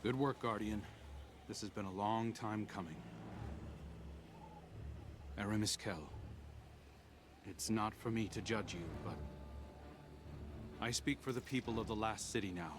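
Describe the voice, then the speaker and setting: A man voicing a steady, diplomatic commander who speaks in a calm, measured, authoritative way. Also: on the low side, noisy background, lightly acted. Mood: firm. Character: steady male human commander, firm and diplomatic. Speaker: military commander. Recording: game audio with music. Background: music.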